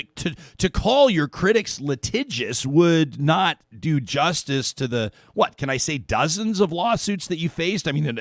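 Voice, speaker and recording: A man's voice: on the high side, no room sound, clean studio sound. A man hosting an interview, asking long, searching questions full of fillers. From Canada. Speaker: radio talk show host. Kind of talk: interview conversation.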